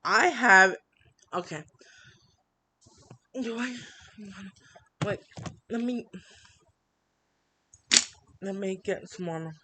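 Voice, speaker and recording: A woman vlogging an upset complaint, halting with many fillers and restarts, reading from written notes. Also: medium pitch, very noisy. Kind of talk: personal complaint vlog.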